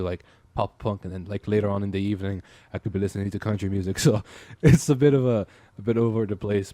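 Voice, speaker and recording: A man in his twenties from Brazil with an Irish accent, chatting as a podcast guest, rambling with halting restarts and frequent laughs. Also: on the low side, dark tone, dry recording. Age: 20s. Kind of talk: casual chat.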